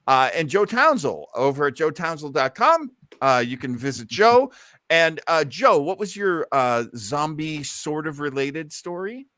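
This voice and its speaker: Male show host, bright and keen, chatting casually and enthusiastically, recorded dry. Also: a little high, very animated, unhurried, dry recording. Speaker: host of an old-time radio listening show. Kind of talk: casual hosting and discussion.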